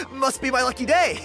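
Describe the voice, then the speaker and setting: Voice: sits high, a bit bright, exaggerated voice. An actor voicing an easygoing, confident young villager with a casual, breezy manner in an anime-style video game. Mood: delighted, amused. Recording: game music underneath.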